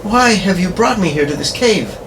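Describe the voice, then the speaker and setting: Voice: mid-range voice, animated, normal speed, a bit bright, slight background noise, close to an everyday voice, low-quality recording. A performer voicing a frightened, defiant young woman held captive, in pulp radio melodrama style.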